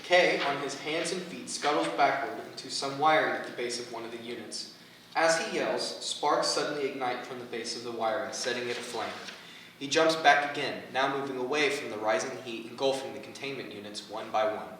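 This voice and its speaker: A man's voice: mid-range voice, a little fast, pushed and bright. A man reading stage directions as scripted narration for a staged play reading. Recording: very echoey room, distant mic, faint noise.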